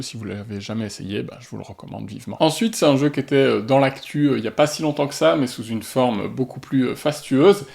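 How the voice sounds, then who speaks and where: A man talks through board games he loves, casual and enthusiastic, with frequent hesitations as he gathers his thoughts. His mid-pitched voice carries a gravelly edge of vocal fry. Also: a little mellow. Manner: enthusiastic, frequent "euh" fillers. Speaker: board game channel host. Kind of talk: casual review monologue to camera.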